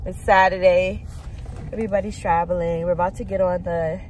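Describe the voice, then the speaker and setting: A woman's voice: fairly low pitch, steady tone, brisk, darkish tone. A woman chatting casually to the camera as a vlogger mom, explaining things with lots of fillers from inside a car. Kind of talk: casual vlog chat. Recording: road noise in a moving car. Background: car and traffic noise.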